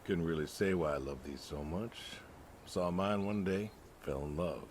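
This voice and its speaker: An actor playing a lonely, aging pig farmer, gentle and resigned, speaking hesitantly and wistfully in a naturalistic game performance. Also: male voice, low-pitched, normal speed, a little hiss or hum, lightly acted. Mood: mellow, fond. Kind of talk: naturalistic narrative game voice acting.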